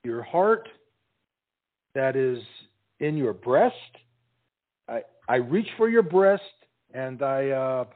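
A man's voice: mid-range voice, lively intonation, slow, long pauses. A man playing an anxious, dim young soldier suitor who hesitates and stammers in a stage comedy. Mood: floundering, bashful. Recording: muffled, tinny narrow-band sound.

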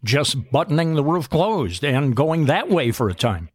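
Man, interview host, measured friendly manner, higher pitch, dry sound. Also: big pitch swings, dry recording. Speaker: radio host. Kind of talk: interview hosting.

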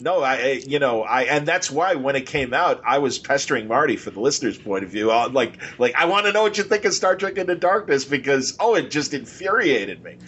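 A man's voice: medium pitch, sing-song, brisk, hardly any pauses, a bit bright, faint music behind. A man hosting a podcast, chatting casually about films in an enthusiastic, rambling way and slipping into imagined quotes. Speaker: podcast host.

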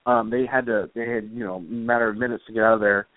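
A man giving casual, rambling interview answers as a guest author, speaking in a hesitant, halting way. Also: lowish voice, fairly even intonation. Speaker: guest, comic book author. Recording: roomy, phone-like internet radio call.

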